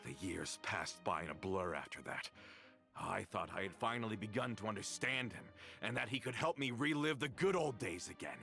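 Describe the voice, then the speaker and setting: A gravelly, bitter middle-aged traitor in a game cutscene, swinging widely in pitch as he hurls accusations. His tone is bright and biting, and faint music plays under him. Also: expressive, moderate pace, heavily acted. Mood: accusing, furious. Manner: accusing, resentful, rising to shouts. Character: bitter vengeful middle-aged man, betrayer. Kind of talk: dubbed game voice acting.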